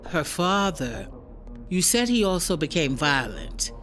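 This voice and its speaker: A man's voice: on the high side, sing-song, unhurried, fairly bright tone, soft background music. An actor playing a businesslike male police officer in an audio drama, formal and procedural. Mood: official, matter-of-fact. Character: businesslike police officer taking a witness statement. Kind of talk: naturalistic audio drama acting.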